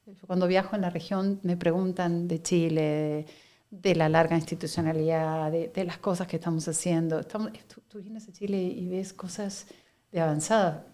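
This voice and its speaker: A middle-aged woman from Argentina with an Argentine Spanish accent, a guest speaking in a measured, reflective interview manner. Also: fairly low pitch, steady tone, mellow. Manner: reflective, measured, with frequent fillers and laughs. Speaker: guest, company director. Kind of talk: conversational interview.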